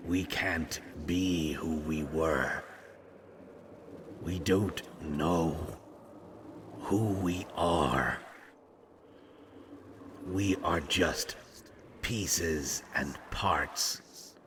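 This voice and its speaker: A man voicing a fragmented monster in an audio drama, a menacing yet regretful servant who talks haltingly and ominously. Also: rumbling low, noisy background, heavily acted. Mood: somber, ominous. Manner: slow, halting, ominous, speaks of himself as "we". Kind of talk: scripted roleplay audio drama.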